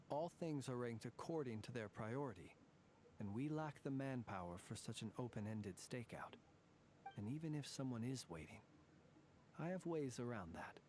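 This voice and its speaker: An ancient male fae living as a human guard in a video game, voiced by a man. He speaks in a measured, formal way at a middling pitch, sometimes dropping to near whispers. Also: animated, darkish tone, a little hiss or hum, lightly acted. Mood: solemn. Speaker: lightkeeper guard.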